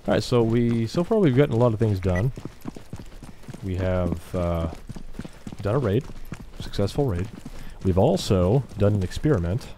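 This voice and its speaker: A man's voice: lowish voice, animated, moderate pace, background noise. A man commentating casually on his game livestream, chatty, slangy and a little hesitant.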